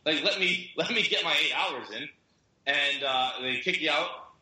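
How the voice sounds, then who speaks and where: A man's voice: on the high side, normal speed, pushed and bright, roomy sound, low-quality recording. A young man with a nasal voice, rambling through profane, jokey stories in casual podcast chat. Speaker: podcast co-host.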